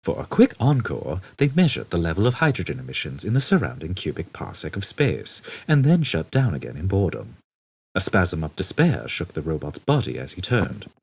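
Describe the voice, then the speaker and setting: A man reading scripted comic science fiction as an expressive audiobook narrator. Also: low-pitched, a little fast, vocal fry, breathy edge. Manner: expressive storytelling.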